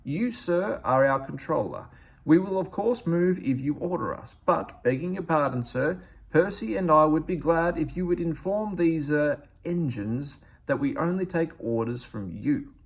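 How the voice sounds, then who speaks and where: A man's voice: on the high side, animated, unhurried, gravelly, husky, voice pushed up, exaggerated voice. A man voicing a polite, self-assured tank engine in a storybook, courteous but firm. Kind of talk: storybook character voice.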